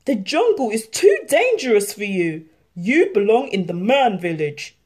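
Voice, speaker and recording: A woman's voice: medium pitch, very animated, unhurried, a little mellow, breathy edge. A woman voicing a big, friendly, protective male bear with a folksy drawl for a children's storybook. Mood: sad, concerned.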